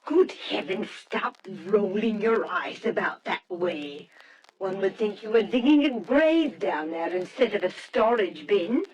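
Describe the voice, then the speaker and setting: An actress in an old-time radio drama playing a bossy, chattering professor's wife, imperious and theatrically emphatic. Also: female voice, medium pitch, animated, measured pace, lo-fi sound, a crowd in the background, natural voice. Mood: irritated, scolding.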